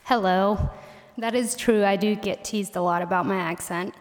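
A young woman from Missouri, a native Pennsylvania Dutch speaker with that accent, reading her prepared senior speech at a college assembly with gentle wry humor. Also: mid-range voice, fairly even intonation, normal speed, background noise. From Missouri, USA. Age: young adult. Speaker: graduating college student. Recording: echoey auditorium sound.

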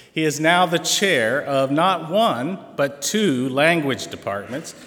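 A college president introducing award recipients at a convocation, measured and formal with a touch of humor. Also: male voice, a little high, a bit bright. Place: large auditorium. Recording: reverberant auditorium.

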